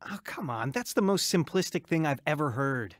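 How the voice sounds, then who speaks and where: A man's voice: on the high side, animated, normal speed, dry recording, clean studio sound, close to an everyday voice. An actor playing a searching, skeptical young man who tells of his troubled past in a radio drama, casual and wry. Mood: scoffing. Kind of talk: radio drama acting and narration.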